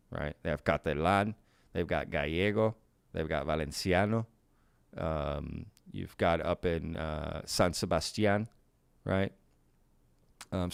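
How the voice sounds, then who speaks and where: A man's voice: deep voice, creaky, clean tone, no room sound. A male live stream host in a casual Q&A, talking in a laid-back, folksy way, often saying y'all and reading out viewer comments. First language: bilingual Spanish and English.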